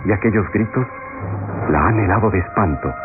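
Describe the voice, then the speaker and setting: A man's voice: fairly low pitch, moderate pace. A man narrating a classic radio soap in a dramatic, suspenseful scripted style. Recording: tinny old radio recording, music behind.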